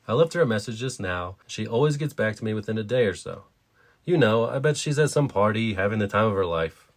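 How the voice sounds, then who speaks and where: Low-voiced, offhand estranged husband, acted by a man. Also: animated, a little fast. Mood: casual reassurance. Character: reassuring, dismissive estranged husband. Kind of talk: dramatized audio story dialogue.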